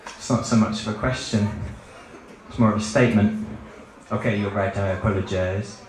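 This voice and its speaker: Man doing a smug literary critic, deadpan, slow, patronizing, low voice, very echoey room. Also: a little hiss or hum, close to an everyday voice. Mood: condescending. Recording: echoey live event hall.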